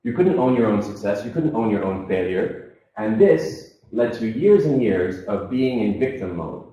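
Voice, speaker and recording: A man's voice: fairly low pitch, animated, moderate pace, mellow, muffled recording. A man in his thirties giving a motivational seminar talk, telling his life story and acting out the voices of the people in it. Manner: storytelling, acts out quoted voices. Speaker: seminar speaker. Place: seminar hall. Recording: echoey room, from far off.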